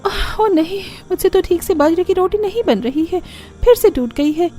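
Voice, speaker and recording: A woman's voice: very high voice, lively intonation, normal speed, warm tone, voice pushed up. A woman voicing an anxious, bewildered young daughter-in-law in a cartoon story, with a plaintive, exclaiming manner. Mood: flustered, eager. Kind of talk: Hindi cartoon story character acting.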